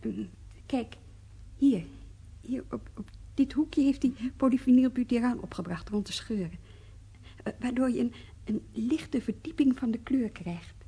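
An actress playing a courteous art conservator in a naturalistic radio drama, explaining things carefully and a little hesitantly. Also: female voice, on the high side, unhurried, dark tone, heavily acted. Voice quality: husky, breathy. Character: courteous woman art conservator admiring a colleague's work.

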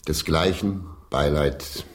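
An actor in a radio drama playing a sentimental, grandiloquent old stage player with a declamatory manner. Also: male voice, rumbling low, slow, background noise. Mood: reminiscing, solemn.

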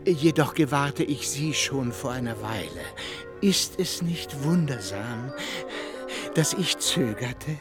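An actor playing a quaint, pedantic scholar with stilted, old-fashioned phrasing in a literary radio drama. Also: male voice, medium pitch, lively intonation, slow, music in the background. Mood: stilted, solemn. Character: pedantic, quaint scholarly man with archaic diction.

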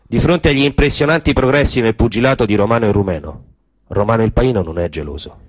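A man's voice: mid-range voice, fast talker, dry recording. A man delivering scripted, theatrical narration of a story.

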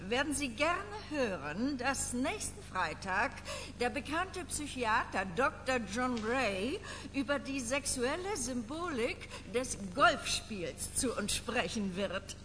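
An actress in a radio play playing a formal, effusive hostess, with a ceremonious, deliberate way of announcing. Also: female voice, sits high, sing-song, a bit bright, heavily acted. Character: formal, effusive female host introducing a lecturer. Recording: reverberant hall, faint noise.

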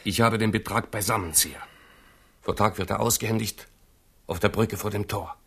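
Loyal schemer advising his king, low gravelly husky voice, insistent, radio drama. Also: fairly even intonation, moderate pace, a bit bright. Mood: persuasive. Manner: insistent, rhetorical. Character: urgent, scheming loyal companion of a young king. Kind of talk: 1970s radio play acting.

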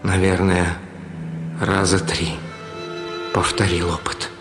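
A man acting a confident, ironic middle-aged gentleman in a radio drama, with a theatrical, teasing delivery. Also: low-pitched, little pitch movement, takes it slowly, music in the background. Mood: brooding.

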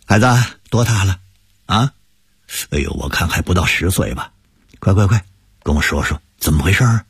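A man voicing a kindly male shop owner who coaxes a frightened boy in a hushed, husky tone. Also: low-pitched, lively intonation, normal speed, exaggerated voice. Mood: soothing.

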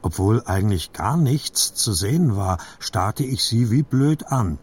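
Husky male audiobook narrator. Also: lowish voice, lively intonation, measured pace, darkish tone. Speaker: literary narrator.

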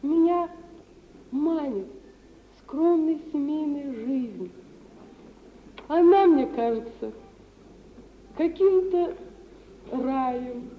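An actress playing a proud, sensitive young woman in a stage drama, with impassioned theatrical delivery and weighty pauses, in mid-century radio theatre style. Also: high-pitched, roomy sound. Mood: pleading, yearning. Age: young adult. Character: proud, sensitive young dowerless bride, yearning and wounded. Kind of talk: 1950s Russian radio theatre acting. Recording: muffled, hissy mid-century radio recording.

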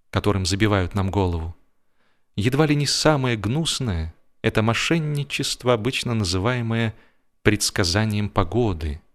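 A man reading a humorous literary story as an expressive narrator, with a theatrical, mock-solemn delivery. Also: fairly low pitch. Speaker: audiobook narrator.

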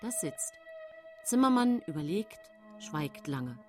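A woman's voice: fairly low pitch, wildly swooping pitch, slow pace, a little mellow, full character voice. Middle aged woman poisoner telling her own story, cold n confiding, radio drama, faint music. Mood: matter-of-fact. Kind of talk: German radio play narration.

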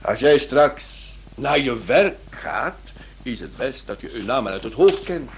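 An actor playing a shrewd, courteous writer and amateur sleuth in a radio play, with an animated, probing manner. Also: male voice, medium pitch. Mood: brisk. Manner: animated, polite, probing, swings between brisk and hesitant. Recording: noisy old radio drama recording.

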